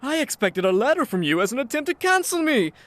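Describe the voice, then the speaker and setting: A woman's voice: high voice, sing-song, quick pace, fairly bright tone, no room sound. A woman voicing a gloating, mocking noble rival with a taunting, theatrical delivery in a comedic fan dub.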